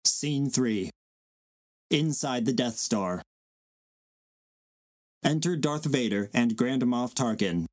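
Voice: medium pitch, animated, slow pace, pauses often, a little mellow, dry recording. A man reading out stage directions as scripted narration for a dramatic reading.

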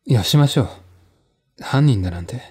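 A man voicing a cynical, composed male writer with dry, sardonic, measured delivery in a dramatized mystery reading. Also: medium pitch, expressive, mellow, breathy edge. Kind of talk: dramatized audiobook dialogue.

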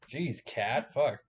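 A man's voice: mid-range voice, slightly rough, lo-fi sound. A man giving casual, profane reaction commentary in a blunt, joking, rambling manner.

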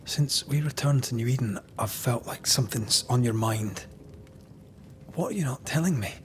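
A man's voice: mid-range voice, normal speed, slight background noise, close to an everyday voice. A man playing an earnest, troubled ghost hunter in a dramatic game, speaking plainly and sombrely. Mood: pensive.

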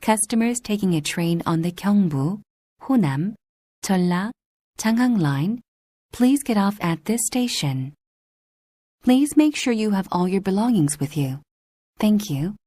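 Slow, mellow female train announcer. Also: medium pitch, animated, dry recording. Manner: measured, formal, carefully enunciated. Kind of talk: scripted transit announcement.

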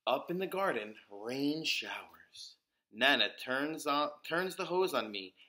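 Bright-voiced man reading a storybook slowly. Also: mid-range voice, lively intonation. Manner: deliberate, dramatic emphasis with occasional whispers and exclamations. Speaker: storyteller reading a picture book aloud for preschoolers. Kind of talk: expressive read-aloud of a children's picture book.

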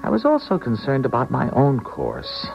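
An actor playing a hard-headed ex-police officer who is a loyal friend, measured and reasoning, in 1970s radio drama style. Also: male voice, medium pitch, huge pitch swings, creaky, music in the background. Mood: reflective. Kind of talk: 1970s radio drama acting and first-person narration. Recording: dull 1970s radio recording.

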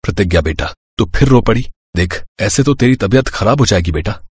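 A man voicing a gentle, protective middle-aged father in a cartoon story, soothing in manner. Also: lowish voice, expressive, brisk, breathy edge, dry recording, clean studio sound. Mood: consoling.

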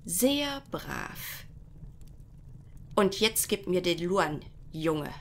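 A woman's voice: fairly low pitch, big pitch swings, extremely slow, slight background noise, full character voice. A woman playing a haughty, menacing villainess who schemes and gloats in a parody detective audio drama. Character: scheming, gloating villainess holding heroes at gunpoint.

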